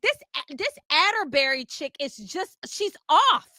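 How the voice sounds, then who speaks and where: Woman co-host, emphatic and sassy. High, bright voice, dry close sound. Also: sing-song, unhurried, no room sound. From Maryland, United States. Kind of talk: casual political chat.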